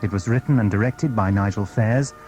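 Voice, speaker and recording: Low, mellow male credits announcer. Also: brisk. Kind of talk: scripted announcement. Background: faint music.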